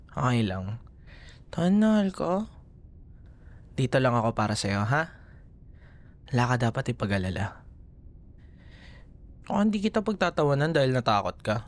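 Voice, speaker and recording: A man plays a tender Filipino boyfriend in an intimate ASMR roleplay. He murmurs slowly and affectionately. His mid-pitched voice moves with lively inflection. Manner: soothing, affectionate, soft murmurs. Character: gentle, doting young boyfriend comforting a scared partner.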